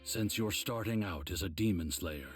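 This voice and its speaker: An actor playing a grave old mentor of demon slayers, explaining things in a measured, solemn way for a video game. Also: male voice, low voice, soft background music, lightly acted. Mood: calm, instructive. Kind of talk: English game dub, measured explanatory dialogue.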